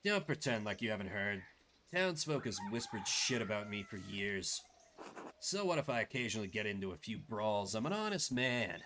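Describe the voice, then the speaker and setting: Guy doing a gruff defensive village brawler from a game, grumbly, sweary, noisy recording. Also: fairly low pitch, big pitch swings, moderate pace. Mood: defensive, irritable. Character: surly, defensive village man, a brawling layabout. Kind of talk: voiced game dialogue. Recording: clearly noisy background.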